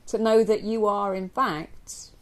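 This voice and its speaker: A woman from England leading a guided yoga nidra meditation for a group, speaking gently and slowly with long pauses. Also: medium pitch. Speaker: yoga nidra teacher guiding a relaxation. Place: live group session at a camp. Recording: steady ambient noise, live recording.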